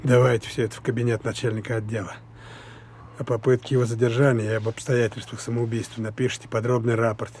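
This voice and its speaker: A man acting a Soviet lieutenant colonel in a wartime radio drama. He keeps a middle pitch with very little variation, clipped and official, used to giving orders. The old recording is slightly noisy. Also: a little mellow, close to an everyday voice.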